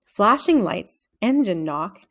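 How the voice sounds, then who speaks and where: A woman's voice: mid-range voice, lively intonation, takes it slowly, a bit bright, heavily acted. A woman voicing a confident, insistent schoolboy in a children's story, earnest and assertive. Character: confident, insistent schoolboy who takes charge.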